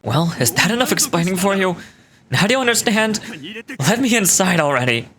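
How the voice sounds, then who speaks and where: A man's voice: high voice, big pitch swings, fairly quick, a crowd in the background. A man voicing a bitter, self-justifying researcher in a video game, telling his story dramatically, like a scorned impostor. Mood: desperate, demanding. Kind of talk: scripted video game voice acting. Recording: music behind the voice.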